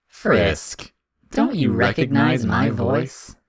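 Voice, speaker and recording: A man's voice: on the low side, big pitch swings, breathy edge, dry recording, studio quality, heavily acted. Man voicing a sinister, swooping demon boy. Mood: haunting, insistent. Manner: formal, deliberate, menacing. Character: sinister otherworldly demon child, boy, eerie and coldly formal.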